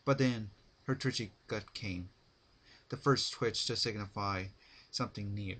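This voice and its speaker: A man giving a dramatic scripted story narration, phrased deliberately in short, halting chunks. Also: on the low side, fairly bright tone, slightly rough.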